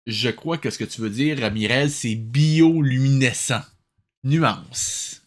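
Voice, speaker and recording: A man's voice: medium pitch, slow. A man with a Quebec French accent giving sarcastic, nitpicking reaction commentary, dropping in English phrases. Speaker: host of a cartoon review show.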